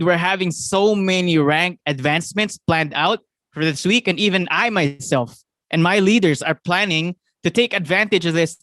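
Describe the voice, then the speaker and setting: A young man with a Filipino accent giving an excited, fast motivational talk to his sales team, often asking "right?" and laughing. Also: high voice, dry recording. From Philippines. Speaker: network marketing team leader.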